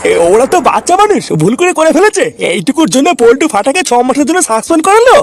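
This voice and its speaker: An old cartoon grandpa, very high and fast, with a swooping pitch. He is doting, foul-mouthed and excitable, spluttering little exclamations as he goes. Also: a little hiss or hum, exaggerated voice. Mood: indignant. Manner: stammering interjections, exclaiming in disbelief. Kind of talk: Bengali comedy cartoon dub.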